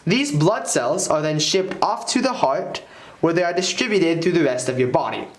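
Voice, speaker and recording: A man's voice: on the high side, animated, normal speed. A man presenting a lab lesson in scripted instructional narration, recorded with a roomy echo. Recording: echoey, roomy sound.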